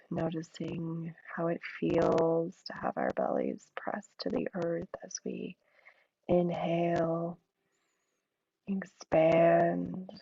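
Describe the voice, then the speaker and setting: A woman with a low, mellow, deeply gravelly voice guides a yin yoga class at an unhurried crawl. She sounds hoarse and a little froggy, her cues soft and drawn out. The recording is dull and lo-fi. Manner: slow, soothing, drawn-out cues. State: hoarse from an allergy attack.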